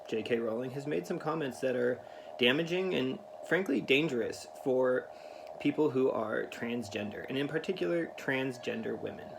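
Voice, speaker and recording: A man speaking to children in an earnest, careful, deliberate way, as in a read-aloud. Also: medium pitch, creaky, background noise. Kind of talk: read-aloud of a children's picture book with spoken introduction.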